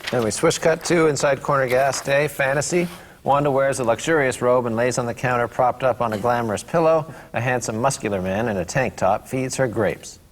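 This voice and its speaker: A man's voice: medium pitch, fairly quick. A man reading stage directions aloud at a script table read. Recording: echoey room with clear background noise.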